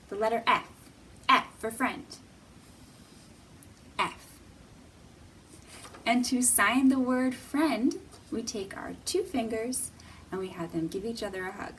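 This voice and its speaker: A woman hosting a children's storytime, speaking in simple, deliberate, teacherly phrases with pauses and questions for young viewers. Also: fairly high pitch, fairly bright tone, far from the mic, a little hiss or hum, poor audio. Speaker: children's library storytime host.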